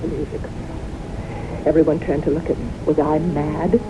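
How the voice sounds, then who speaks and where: A woman's voice: sits low, little pitch movement, moderate pace, natural voice. An actress playing a fearful, fervent young woman haunted by death, in an intense theatrical style of 1970s radio drama. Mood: haunted, brooding. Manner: intense, theatrical, emotive delivery. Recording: noisy, muffled old radio broadcast recording.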